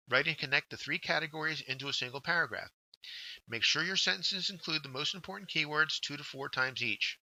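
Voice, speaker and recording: A man's voice: a little high, pushed and bright, no echo, poor audio. A man giving scripted instructional narration as a workshop instructor, guiding learners step by step and addressing them directly.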